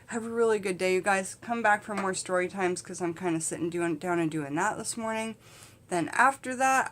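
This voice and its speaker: A middle-aged woman telling a casual comedic story to camera in an animated, candid, conversational way. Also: on the low side, slight background noise. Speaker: vlog host and storyteller. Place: small indoor space in front of a curtain.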